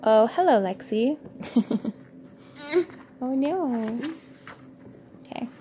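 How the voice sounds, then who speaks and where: High-voiced woman reading a children's storybook. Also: expressive, extremely slow, dark tone. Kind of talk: read-aloud children's storytelling with casual asides. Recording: clear background noise, homemade recording.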